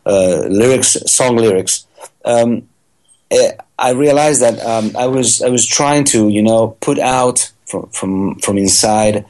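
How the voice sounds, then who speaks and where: Halting middle-aged raised man. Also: on the low side, darkish tone. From Italy. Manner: halting, many fillers and restarts. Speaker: musician guest. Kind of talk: interview answers.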